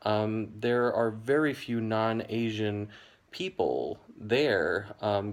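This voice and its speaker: A young American man explaining a topic to camera in a measured, hedging way, with frequent ums and "you know". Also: on the low side. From United States. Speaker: educational video host.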